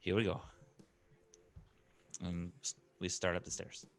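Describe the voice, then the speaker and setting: A man's voice: low voice, level tone, unhurried. A man playing in a tabletop roleplaying game, hesitantly describing his character's actions in improvised chat.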